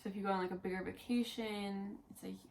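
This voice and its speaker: A woman's voice: mid-range voice, fairly even intonation, fast talker, creaky, slight background noise, poor audio. A woman in her early twenties chatting casually and unscripted on a vlog, rambling and peppering her sentences with "like". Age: early 20s. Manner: rambling, frequent "like". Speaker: co-host of a Disney fan vlog. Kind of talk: casual unscripted chat, answering tag questions.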